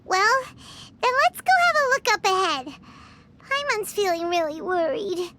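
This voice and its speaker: A woman voicing a tiny, excitable and anxious fairy girl in a video game, with a squeaky childlike voice. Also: measured pace, heavily acted. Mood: eager. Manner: speaks of herself in third person, exclamatory. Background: game music.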